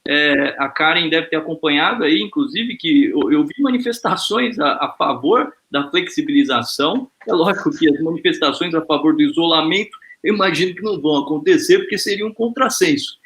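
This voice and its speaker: A man hosting an informal web panel discussion over a video call, relaxed and lightly joking, with frequent hesitant fillers. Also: on the high side, a little fast, hardly any pauses. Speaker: host.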